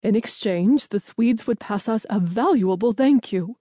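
A woman's voice: mid-range voice, expressive, unhurried, dark tone, no echo, clean studio sound. A woman playing an earnest young female intelligence clerk, anxious but determined, with an urgent, pleading manner. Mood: anxious, insistent. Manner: pleading, self-justifying, urgent.

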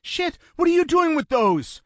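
A man's voice: high-pitched, unhurried, no room sound, clean studio sound, pitched above the performer's own voice. A man voicing an anxious criminal, urgent and pleading, in an audiobook thriller. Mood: panicked.

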